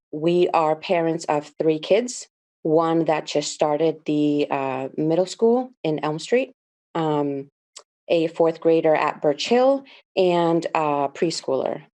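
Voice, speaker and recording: Low-voiced woman giving a candidate statement. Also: slow pace, creaky, mellow. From Dominican Republic. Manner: earnest, with frequent "uh" and "um". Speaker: school board candidate. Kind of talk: opening statement.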